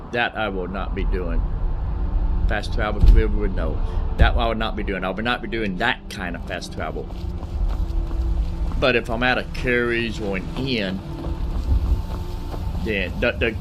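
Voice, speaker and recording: A man's voice: on the low side, background noise. A man giving casual gameplay commentary, explaining things and often repeating himself. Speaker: gaming host.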